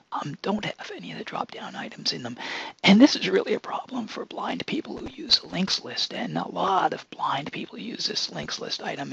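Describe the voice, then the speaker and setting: Hoarse, gravelly male consultant, tense bright edge, dull lo-fi recording. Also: fairly high pitch. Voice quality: hoarse, whispery voice. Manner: casual, unscripted explaining with many fillers. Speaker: accessibility consultant. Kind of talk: demonstrating software.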